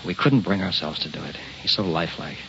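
A man's voice: sits low, fast, pushed and bright. An actor playing a troubled middle-aged scientist and family man in an old-time radio drama. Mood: grave, troubled. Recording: muffled old radio recording with hiss.

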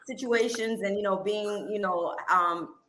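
A woman's voice: medium pitch, a little slow, crowd noise, poor audio. A woman from Miami in casual group chat, choosing her words carefully.

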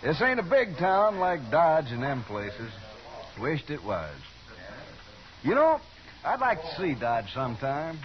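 An actor playing a rustic, chatty small-town saloon bartender in a 1950s radio western, with a folksy manner. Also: male voice, fairly high pitch, huge pitch swings, slow, exaggerated voice. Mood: folksy, curious. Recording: dull 1950s radio recording, noisy saloon crowd.

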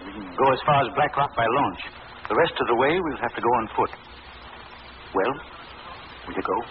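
A man's voice: mid-range voice, expressive, a little fast, natural voice. Male actor as grim, earnest middle-aged doctor for 1940s radio mystery; foreboding delivery, thin noisy sound. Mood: determined. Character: grave, nervous middle-aged country doctor, grimly determined. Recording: tinny, noisy old radio recording.

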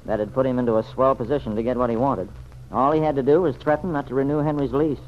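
A man's voice: mid-range voice, steady tone, fast talker, lightly acted. An actor playing a plainspoken, loyal younger man with a blunt, folksy manner in an old-time radio serial. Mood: matter-of-fact. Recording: muffled old radio recording, faint noise.

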